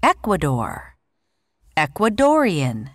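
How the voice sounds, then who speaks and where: A woman clearly pronouncing each word of a vocabulary list on a course audio track, played back during a lesson. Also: sits low, huge pitch swings, dry recording, studio quality. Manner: clear, deliberate word-by-word pronunciation. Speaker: textbook audio speaker.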